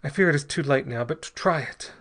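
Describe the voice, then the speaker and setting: A man voicing a tormented, formal Argentine gentleman in an adventure story, gasping and pleading in halting, unsteady phrases. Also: on the high side, pitched above the performer's own voice, lightly acted. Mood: strained, resigned. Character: poisoned Argentine gentleman, desperately polite, gasping, fighting madness.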